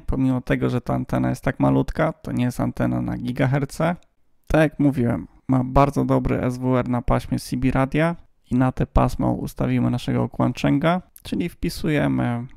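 A man with a strongly gravelly, creaky voice at a medium pitch. He explains things casually as a radio hobbyist hosting a vlog. The sound is dry and close, recorded outdoors on a handheld phone. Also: a little mellow, no echo. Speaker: amateur radio hobbyist host.

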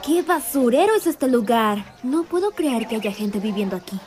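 Woman as a haughty high-pitched animal commander. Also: expressive, moderate pace, a little mellow, natural voice. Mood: scornful. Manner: contemptuous, commanding. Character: haughty, harsh female animal army commander. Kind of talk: animated fan dub.

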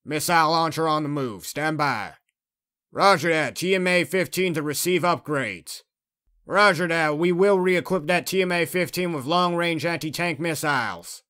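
A man voicing a gung-ho military vehicle crewman for game unit lines, in a clipped, brisk delivery. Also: fairly high pitch, pushed and bright. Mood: crisp, dutiful.

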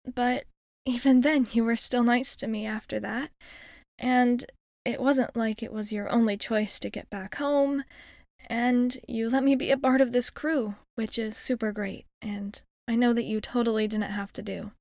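Woman playing a shy, higher-voiced alien girl. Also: fairly even intonation, a little slow, creaky, darkish tone, no room sound, clean studio sound. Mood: earnest, grateful. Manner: hesitant, earnest, casual girlish phrasing. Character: friendly, shy young female alien crewmate. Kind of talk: ASMR roleplay monologue.

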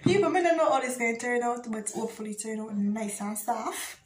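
A woman's voice: on the high side, expressive, a little fast. A young adult woman with a Jamaican accent chatting casually to camera as a video blogger. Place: small bare indoor room. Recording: echoey small room.

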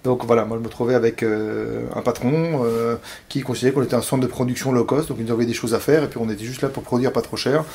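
A man in his forties from Paris, speaking as an interview guest and pausing often on fillers and restarts. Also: mid-range voice, steady tone, darkish tone. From Paris, France. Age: 40s. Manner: hesitant, many fillers and restarts. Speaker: interview guest, company founder. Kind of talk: casual interview talk.

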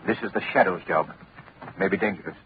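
A man playing a grave, determined gentleman crime-fighter in a 1930s radio drama, with a dramatic, halting, earnest delivery. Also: deep voice, close to an everyday voice. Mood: protective. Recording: noisy, narrow-band 1930s radio recording.

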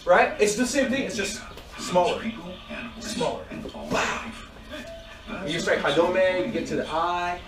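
A fairly high-voiced man walks students through techniques, chatty and relaxed, punctuating moves with quick sound effects. He is heard from across a roomy, echoing training hall, the mic far off. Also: over music. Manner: loose, laughing, demonstrating moves with sound effects. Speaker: martial arts instructor. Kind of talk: casual teaching at a dojo. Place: large indoor training room with mats. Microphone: fixed camera high in a corner, far from the speaker. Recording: echoey room, voice from far off.

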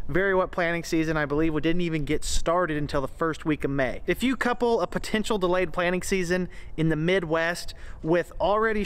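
Young man, farmer vlogging, smooth voice, a bit high, dry humor, some reverb. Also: fairly bright tone. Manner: dry, self-deprecating, chatty. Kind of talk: casual vlog narration. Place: on a farm, partly outdoors and in a tractor.

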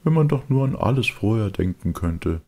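A man's voice: on the low side, lively intonation, normal speed, dark tone, pitched above the performer's own voice. A man voicing an exasperated old woodcarver father in a storybook reading. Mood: sorrowful.

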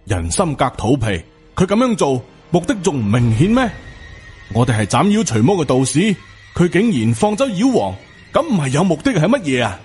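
A man voicing an angry, suspicious middle-aged Taoist master in an audiobook, gruff and accusing. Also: on the high side, big pitch swings, normal speed, a little mellow, soft background music. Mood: agitated, accusing.

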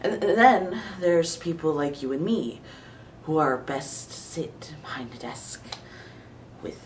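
A man's voice: on the high side, animated, slow. A man acting an earnest, self-doubting, intellectual nerd with a hesitant delivery in an audition monologue. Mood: earnest, resigned. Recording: echoey room, distant mic, faint noise.

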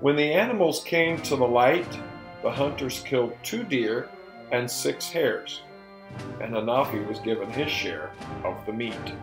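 A middle-aged man narrating a folktale in a deliberate, emphatic, theatrical storyteller's delivery. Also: medium pitch, animated. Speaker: storyteller and presenter. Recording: roomy echo with music behind.

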